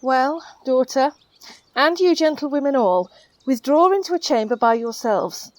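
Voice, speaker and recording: An actress playing an authoritative noblewoman and mother in a Shakespeare radio play, speaking in a measured, commanding way. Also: high-pitched, expressive, a little mellow, dry recording. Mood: composed, commanding. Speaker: actor in an audio Shakespeare play.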